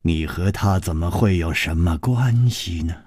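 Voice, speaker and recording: A man's voice: deep voice, unhurried, darkish tone, slightly rough, no room sound, clean studio sound, voice pushed down. A man playing a jealous, volatile, suspicious lover in a martial-arts story, with probing, demanding speech. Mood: uneasy, shaken.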